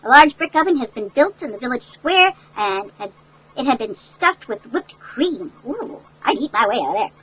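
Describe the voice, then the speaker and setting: High-voiced older woman reading to children. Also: big pitch swings, normal speed, fairly bright tone, breathy edge, poor audio. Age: older, great-grandmother. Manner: instructive, checks in with listeners through tag questions. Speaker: grandmother reading a picture book to children. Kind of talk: storybook read-aloud with chatty asides to children.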